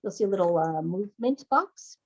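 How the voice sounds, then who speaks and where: Woman, game master, patient explainer, checks understanding, middle pitch. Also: lively intonation, brisk, highs cut off. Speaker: game master guiding character creation.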